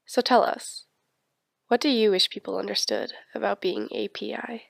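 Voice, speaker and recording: A woman's voice: mid-range voice, fairly even intonation, normal speed, vocal fry, no echo, studio quality. A woman narrator reading a scripted introduction.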